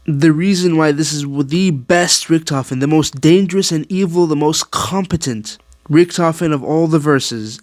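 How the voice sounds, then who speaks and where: A man giving casual, opinionated commentary as a gaming video creator, slangy and animated, often digressing. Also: on the high side, no echo, clean studio sound.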